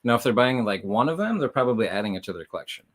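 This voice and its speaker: A man's voice: mid-range voice, animated, racing. A man hosting a livestream in a casual, explanatory style, talking directly to viewers like a conversation. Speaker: livestream host and reseller.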